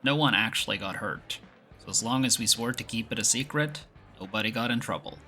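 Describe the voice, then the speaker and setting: A man's voice: medium pitch, a little fast, sharp tone, faint music behind, lightly acted. A man acting in an audio roleplay as an easygoing, good-humored young second-in-command of a clan, speaking in a playful, conversational way. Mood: amused, reminiscing.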